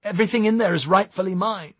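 A man's voice: a little high, steady tone, a little slow, fairly bright tone, husky, no room sound, pitched above the performer's own voice, full character voice. A man voicing a nervous, evasive man caught by police, stammering and pleading in an audiobook. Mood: nervous protest. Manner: stammering, panicky protests.

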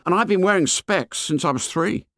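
A man's voice: high voice, lively intonation, normal speed, a bit bright, no echo, studio quality, pitched above the performer's own voice. A man putting on an eager, anxious fat schoolboy. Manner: eager, working-class boy's grammar.

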